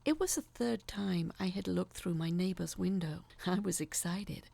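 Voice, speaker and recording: A woman narrating a scripted horror story as an awkward, obsessive young male peeping Tom, in a confessional, dramatic manner with breathy drops. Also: fairly low pitch, moderate pace, warm tone, no room sound. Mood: sly, eager.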